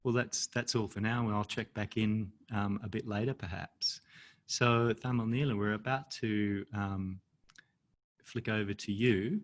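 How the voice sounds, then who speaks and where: A man with an Australian accent hosting an online storytelling event over a video call, relaxed and hesitant with many pauses. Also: lowish voice.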